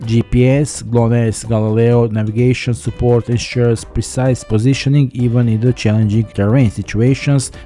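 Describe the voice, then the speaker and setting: A man reads a scripted tech review in a very dark, soft-edged voice at a medium pitch. Faint music plays behind his narration. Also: level tone, moderate pace, hardly any pauses.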